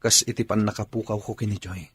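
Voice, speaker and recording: A man acting a lovelorn young suitor, earnest and pleading, with a rough voice in a radio drama. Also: lowish voice, level tone, fast. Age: young adult. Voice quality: rough, husky.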